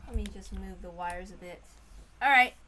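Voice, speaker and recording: A woman's voice: mid-range voice, normal speed, pushed and bright. A woman reading a story aloud as an amateur narrator, informal and given to apologetic, self-interrupting asides.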